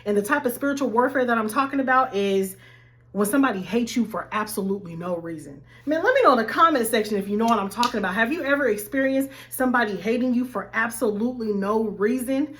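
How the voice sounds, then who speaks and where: A woman life coach, slightly hoarse, talking animatedly to her viewers in a casual faith-based vlog. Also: fairly high pitch, fast. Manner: animated, addresses viewers as y'all.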